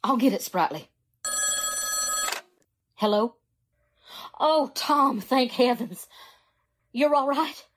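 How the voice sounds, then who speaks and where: A woman's voice: high voice, lively intonation, slow pace, long pauses, heavily acted. An actress playing a worried, grave small-town wife and mother in a 1940s-style radio drama. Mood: anxious, relieved.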